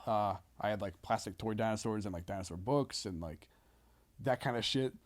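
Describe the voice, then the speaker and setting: A man who is a comedian guest on a podcast, chatting casually in a halting, rambling, slangy way. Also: lowish voice.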